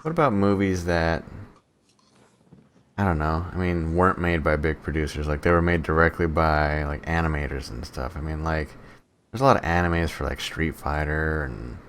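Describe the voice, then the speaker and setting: A man's voice: a bass voice, steady tone, moderate pace, fairly bright tone, slight background noise. A man co-hosting a podcast, chatting casually with an exasperated, sweary way of talking. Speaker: podcast co-host. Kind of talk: casual chat, banter.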